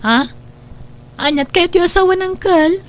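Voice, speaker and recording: A woman's voice: very high voice, unhurried. A woman with a Filipino accent playing a breezy, defensive young graduate in a comedy drama, flippant and protesting. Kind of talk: amateur comedy drama acting.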